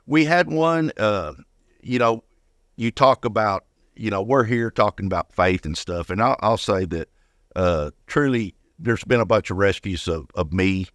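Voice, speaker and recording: A man's voice: fairly low pitch, a little slow, a bit bright, no echo, clean studio sound. An older man speaking as an interview guest, telling personal stories casually and often repeating words as he goes. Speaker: guest, retired firefighter.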